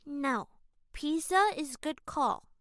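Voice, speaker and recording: A timid young shapeshifter in an audio drama. The voice is high and very slow, halting and stilted, with an echoey sound. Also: female voice, lively intonation, fairly bright tone, full character voice. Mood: nervous, hesitant. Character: timid, unsure young shapeshifter new to human world.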